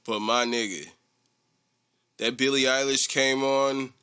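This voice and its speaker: A young man hosting a solo podcast, chatting casually in a rambling, profane, animated way. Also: mid-range voice, slow pace, clean tone. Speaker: podcast host.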